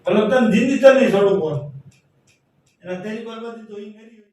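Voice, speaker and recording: A man's voice: high voice, moderate pace, mellow, a little hiss or hum. A man with a Rajasthani accent in a heated argument on a phone call, speaking in an abusive, threatening, confrontational way. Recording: echoey, distant phone call recording.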